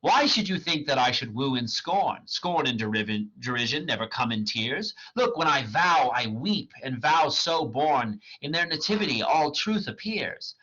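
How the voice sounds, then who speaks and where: A young woman protesting that she is being mocked, in verse, read aloud by a man. The pitch moves a lot. The recording is dull and lo-fi. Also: sing-song, moderate pace, few pauses, sharp tone, husky, exaggerated voice. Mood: reproachful. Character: young woman Helena, wounded and indignant.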